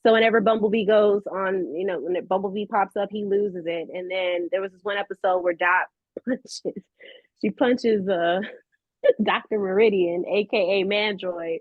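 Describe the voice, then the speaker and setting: American female interview guest, mid-pitched, expressive casual chat, dry but slightly muffled audio. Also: moderate pace, dry recording.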